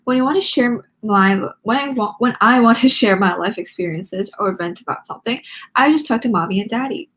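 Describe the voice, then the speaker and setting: A woman's voice: on the high side, fairly quick, a bit bright, close to an everyday voice. A woman voicing a snobby, spoiled schoolgirl drama queen from a teen diary storybook, haughty, sugary-mean and dramatic. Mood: smug gloating.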